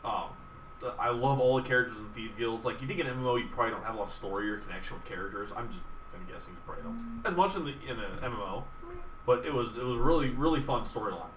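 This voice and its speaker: A man's voice: medium pitch, lively intonation, rapid-fire, a bit bright. A man chatting casually about video games as a podcast host, with an enthusiastic and casually profane manner. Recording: roomy, distant mic, faint noise.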